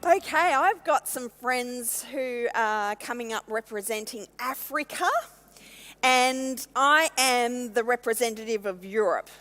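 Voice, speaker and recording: A woman's voice: on the high side, unhurried, sharp tone, big-room sound. A woman from Scotland, hosting a casual chat at a community carol event and laughing often. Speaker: host.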